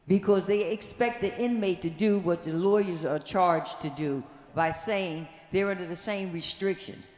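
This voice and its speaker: An audience member asks an earnest, insistent question at a public forum, halting now and then for words. The voice sits at a middle pitch. It echoes through a large hall with long reverb.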